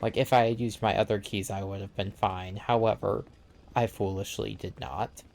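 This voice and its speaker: A man's voice: fairly low pitch, fairly even intonation, normal speed, gravelly, a little mellow, slight background noise. A man playing a game with a friend, chatting in a dry, laid-back way. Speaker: co-streamer. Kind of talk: casual gameplay chat.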